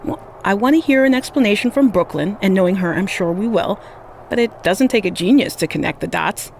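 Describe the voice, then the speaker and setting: An actress playing an opinionated wrestling analyst, arguing pointedly in a scripted sports panel debate. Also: female voice, medium pitch, expressive, brisk, gravelly, a little mellow, slight background noise. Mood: certain. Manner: assertive, pointed.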